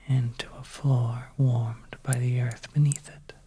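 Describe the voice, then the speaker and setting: A storyteller narrating a suspenseful ASMR tale in a soft, breathy whisper, hushed and gentle with pauses. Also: medium pitch, flat delivery. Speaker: audio storyteller.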